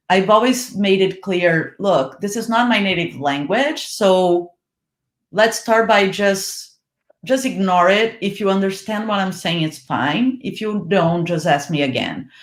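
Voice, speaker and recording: Brazilian woman, steady explainer, echoey room. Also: lowish voice. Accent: Brazilian Portuguese. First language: Portuguese. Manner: steady and explanatory, with frequent "like" fillers. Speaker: PR manager, panel guest. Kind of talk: casual online panel chat.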